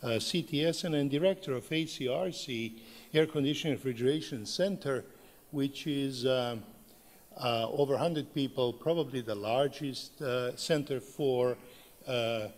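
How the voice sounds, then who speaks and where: A middle-aged man from Serbia, a professor, gives a conference lecture in English with a Serbian accent, speaking unhurriedly with many filler sounds. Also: medium pitch. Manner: unhurried, frequent uh fillers, plain non-native phrasing. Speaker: professor giving a conference keynote. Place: large conference hall. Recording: long reverb of a large hall.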